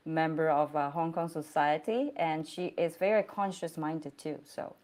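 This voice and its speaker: Effusive lower-pitched woman host. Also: steady tone, moderate pace, darkish tone. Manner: effusive and encouraging, laughs often. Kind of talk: hosting an online interview.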